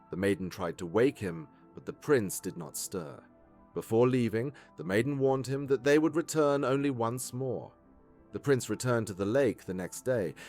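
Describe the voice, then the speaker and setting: A man's voice: medium pitch, a little mellow. A man reading a scripted fairytale for children as a storyteller. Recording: music behind the voice. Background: background music.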